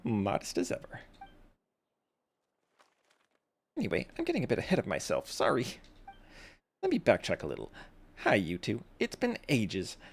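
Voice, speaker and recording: Guy doing a breezy young reporter girl from a game, dramatic, swoopy pitch, bit creaky. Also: wildly swooping pitch, a little fast, exaggerated voice. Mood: chatty. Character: young female tabloid-savvy reporter, chatty, theatrical, earnest.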